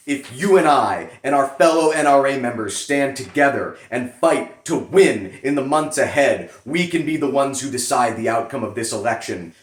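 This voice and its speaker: A man giving a solemn, theatrical dramatic reading with emphatic pauses. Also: a little high, a bit bright. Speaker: reader performing a letter. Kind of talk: dramatic reading of a political fundraising letter. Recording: echoey room.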